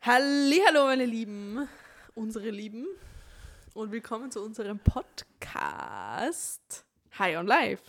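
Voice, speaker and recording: A woman's voice: fairly high pitch, very animated, slow, creaky, fairly bright tone. A young woman co-hosting a podcast in casual chat, chatty and giggly, drifting between regional dialect and standard speech. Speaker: podcast co-host.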